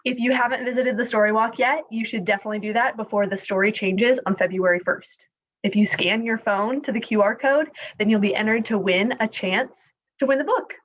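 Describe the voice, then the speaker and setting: Higher-voiced woman hosting story time, dull audio. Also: a little fast. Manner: addresses young viewers with questions. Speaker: library story time host. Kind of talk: scripted introduction to online children's story time.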